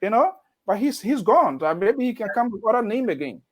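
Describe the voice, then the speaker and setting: A man hosting a radio interview, lecturing listeners in a stern way with sudden emphatic jumps in pitch. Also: very animated, brisk. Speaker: radio host.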